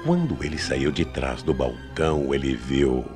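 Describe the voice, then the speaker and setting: A man's voice: a bass voice, animated, unhurried. A man with a Brazilian accent narrating a scripted radio mystery drama in a dramatic storytelling manner. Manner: dramatic storytelling with rhetorical questions. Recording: radio narration over prominent music.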